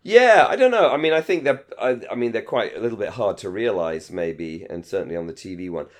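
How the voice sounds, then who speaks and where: A man's voice: lowish voice, expressive, fairly quick. A middle-aged man co-hosting a casual discussion podcast, thinking aloud in a rambling, hesitant way with many false starts. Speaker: podcast co-host. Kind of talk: casual discussion of a radio drama.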